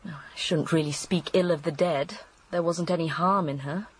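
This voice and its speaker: An actress playing a candid, world-weary English widow in a radio drama, confiding and matter-of-fact. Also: female voice, deep voice, fairly even intonation, normal speed, slightly rough. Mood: wry.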